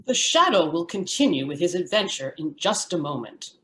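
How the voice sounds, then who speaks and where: A woman's voice: fairly low pitch, very animated, normal speed, bright, forceful tone, soft background music. A woman announcer reading scripted narration for a radio show. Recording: roomy, echoing sound.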